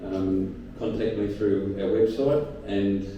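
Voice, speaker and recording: A man speaks very slowly and deliberately, pausing with fillers as he pitches. His voice is low and very dark. He is far from the mic in a very echoey room with faint noise. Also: steady tone, highs cut off. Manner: slow, deliberate, halting with fillers. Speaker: startup founder pitching. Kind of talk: startup pitch. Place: event venue.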